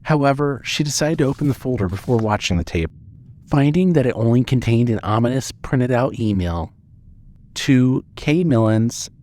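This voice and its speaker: A man narrating a scripted story in a measured, suspenseful way. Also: medium pitch, expressive, darkish tone, slight background noise.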